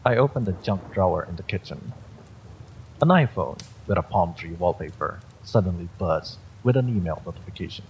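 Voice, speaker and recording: A man's voice: low-pitched, animated, moderate pace, darkish tone, slight background noise, poor audio. A man reading a first-person story aloud as a dramatic narrator. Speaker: story reader.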